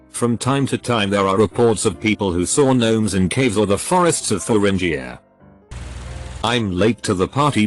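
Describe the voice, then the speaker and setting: A man narrating reader-submitted stories in a steady scripted read, spelling out abbreviations letter by letter. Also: fairly low pitch. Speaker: narrator reading forum posts. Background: faint background music.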